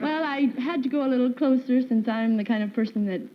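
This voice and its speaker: A woman's voice: fairly high pitch, a little fast. Young woman in her twenties, polite and chatty. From Fort Wayne, Indiana. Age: 20s. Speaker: game show contestant. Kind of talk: unscripted chat. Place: television studio stage. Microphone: stand mic on stage. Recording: dull 1950s TV sound with faint hiss.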